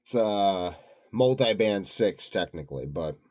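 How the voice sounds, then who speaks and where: Sleepy guy w a low voice doing a rambly vlog, dry and jokingly grandiose. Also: animated, unhurried, darkish tone. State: tired, sleepy. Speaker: vlogger, channel host. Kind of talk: rambling unscripted video diary.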